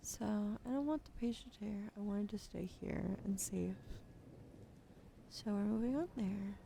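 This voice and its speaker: A young woman streaming ASMR, drowsy and soft-spoken, slipping into whispers as she chats. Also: mid-range voice, measured pace, gravelly, slight background noise. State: sleepy. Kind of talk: sleepy ASMR stream chat.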